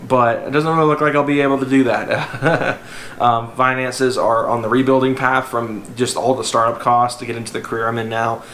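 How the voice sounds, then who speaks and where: A young man talks to his viewers in a loose, rambling way, with plenty of ums and uhs. His voice sounds a little rough and hoarse, and it rings a bit in a reverberant room over a faint hum of background noise. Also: mid-range voice, little pitch movement, fairly quick, a bit bright. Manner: rambling, filler-heavy, conversational. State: slightly hoarse voice. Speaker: car vlogger. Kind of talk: casual talk to camera.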